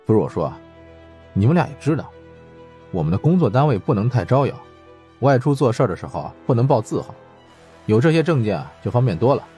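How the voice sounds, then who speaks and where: A man voicing a gruff, dismissive middle-aged department chief with a husky, condescending drawl in an audiobook. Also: medium pitch, sing-song, fast talker, long pauses, warm tone, soft background music, pitched above the performer's own voice, natural voice.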